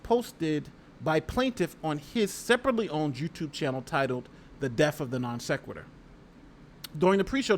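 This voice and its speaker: A man's voice: fairly high pitch, fairly bright tone, a little hiss or hum. An American man hosting a casual legal commentary stream, reading aloud and talking through it conversationally with rhetorical asides. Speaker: YouTube host and lawyer reading a lawsuit.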